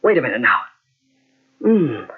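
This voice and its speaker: An actor playing an anxious, weak-willed gentleman, flustered and exclamatory, in an old-time radio mystery. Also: medium pitch, big pitch swings, a little slow, slightly rough. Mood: wavering. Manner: flustered, exclamatory, talking to himself. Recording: dull lo-fi old radio cassette recording.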